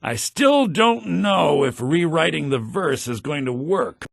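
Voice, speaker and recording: An actor playing an earnest, fussy bard in a fantasy video game, speaking in a formal, keen manner. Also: male voice, fairly high pitch, very animated, slow pace, gravelly, no echo, clean studio sound, heavily acted. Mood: anxious, keen. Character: earnest, fussy male bard preparing a festival verse.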